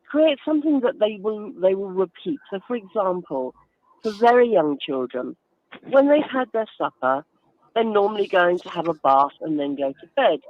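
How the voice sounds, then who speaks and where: A woman's voice: mid-range voice, no room sound, lo-fi sound. A woman giving explanatory interview answers as a guest, instructive and emphatic, with everyday examples. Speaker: guest author and reading advocate.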